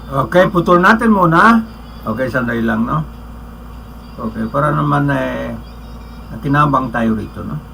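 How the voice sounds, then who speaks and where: A Filipino man breaking into his own livestream with casual remarks in a gravelly creak, sitting back from the microphone. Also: medium pitch, animated, moderate pace, long pauses, background noise. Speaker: online stream host. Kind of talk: casual off-the-cuff commentary. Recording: distant from the microphone.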